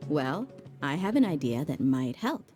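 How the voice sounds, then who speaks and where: An actress voicing a tough female mercenary bodyguard who is blunt and casual but soft at heart, in a game cutscene. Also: low voice, sing-song, unhurried, over music. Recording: game score softly behind.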